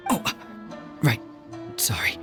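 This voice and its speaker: A man's voice: measured pace, close to an everyday voice. A man voicing an uneasy, gossiping townsperson in an anime video game. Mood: wary. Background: background music.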